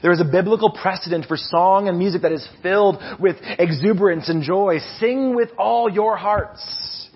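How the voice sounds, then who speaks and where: A man with a loud voice preaching a casual, animated sermon, restarting his sentences often and poking fun at himself. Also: high voice, normal speed, few pauses, husky, dull audio. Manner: animated, self-deprecating, many restarts. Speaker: preacher and music leader. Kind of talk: conversational sermon.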